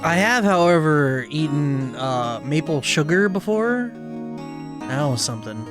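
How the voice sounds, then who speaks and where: A man's voice: on the high side, animated, a little slow. Canadian guy streaming, reads the game text out and chats back, voice jumps all over, game music under it. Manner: reads game dialogue while answering chat. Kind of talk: let's play, reading game text aloud.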